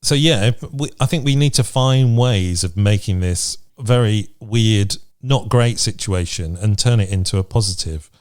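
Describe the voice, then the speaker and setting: A man's voice: lowish voice, normal speed, few pauses, a little mellow, no room sound, studio quality. A man hosting a podcast, chatting casually in a reflective, encouraging way. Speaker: podcast host. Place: remote video chat recording.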